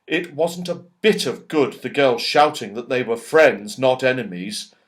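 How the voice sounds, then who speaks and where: A man's voice: mid-range voice, measured pace. A man narrating a children's story as an audiobook, expressively, with dramatic emphasis and storyteller's phrasing.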